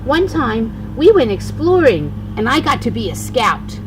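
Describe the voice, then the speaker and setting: An older woman, a grandmother, reading a rhyming children's storybook aloud in an expressive, singsong way. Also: a little high, measured pace, noisy background.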